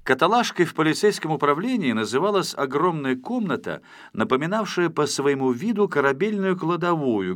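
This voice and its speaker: Animated, bright-voiced male storyteller. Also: medium pitch, no echo, studio quality. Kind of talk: expressive scripted narration of a children's audio story.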